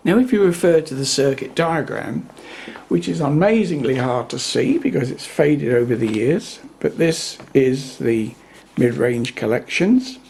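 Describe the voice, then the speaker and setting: A man giving an unscripted, methodical hands-on explanation in a measured way, as the presenter of a repair video. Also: medium pitch, animated, vocal fry, a little mellow, a little hiss or hum.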